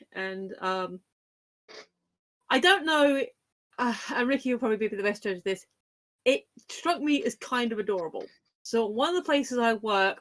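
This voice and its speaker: A woman's voice: medium pitch, a bit bright. A woman co-hosting a casual talk show and telling everyday anecdotes.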